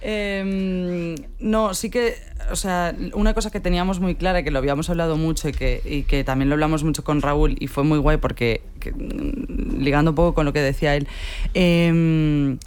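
Clear-voiced woman director, wry. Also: sits low, steady tone, moderate pace, a little mellow. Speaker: series director. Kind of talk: radio interview talk.

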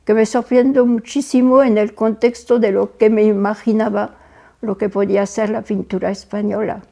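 A woman's voice: mid-range voice, little pitch movement, moderate pace, vocal fry, warm tone. An older woman from France with a French accent, a native French speaker, reminiscing as an interview guest. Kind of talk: reminiscing in conversation.

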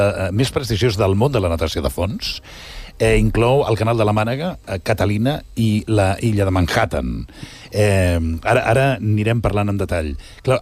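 A man's voice: on the low side, a little mellow, breathy edge. A man from hosting a conversational interview in an engaged, amazed manner. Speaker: interview host. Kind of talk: conversational interview, switching between two languages.

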